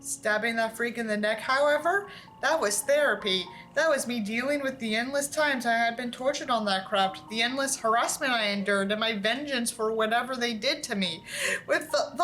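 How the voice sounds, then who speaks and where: A woman's voice: a little high, fairly bright tone, rough recording. A woman reading a book aloud theatrically, with sarcastic, exaggerated delivery and dry asides. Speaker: host reading a book aloud with mocking commentary.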